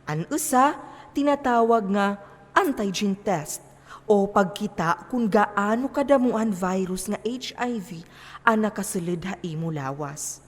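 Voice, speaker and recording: Ringing through a reverberant hall, an actress plays a frank, commanding moral adviser. She has a mid-pitched female voice and an Eastern Visayan Filipino accent, and lectures emphatically as in a stage play. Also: expressive, measured pace, lightly acted. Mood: instructive. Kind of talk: declamatory amateur stage play acting. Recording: long echo of a hall.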